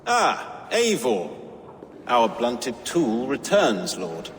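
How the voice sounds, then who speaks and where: An actor playing a scornful, mocking Saxon royal adviser in a video game. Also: male voice, mid-range voice, huge pitch swings, extremely slow, big-room sound, a little hiss or hum, heavily acted. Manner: contemptuous, mocking.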